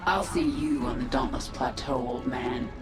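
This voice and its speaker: A woman's voice: extremely low pitch, moderate pace. Echoing, ruthless swarm queen. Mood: imperious. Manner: imperious, menacing. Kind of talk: scripted sci-fi game voice acting. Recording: echoey, distant, music underneath.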